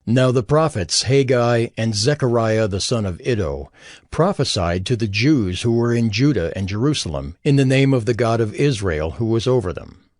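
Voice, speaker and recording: A man narrating a dramatized Bible recording in a measured, expressive way. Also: medium pitch, no echo, studio quality.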